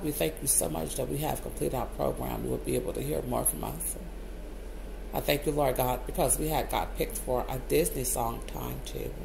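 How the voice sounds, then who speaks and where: Deeply gravelly voice in rambling prayer. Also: background noise. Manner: repeatedly calls out "Lord God" between loose, wandering phrases.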